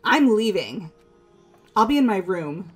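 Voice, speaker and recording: A woman's voice: mid-range voice, very animated, unhurried. A woman voicing an impulsive, emotional, hot-headed teenage girl in a video game. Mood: outraged. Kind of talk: amateur video game voice-over. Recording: background music throughout.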